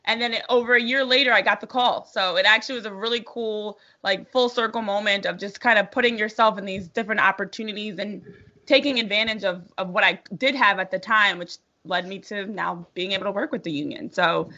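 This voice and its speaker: A bright, forceful American woman speaks as an interview guest. She tells her story conversationally, articulate and positive. The audio is slightly dull and lo-fi. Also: medium pitch, brisk. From New Jersey, United States. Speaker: sports broadcaster being interviewed.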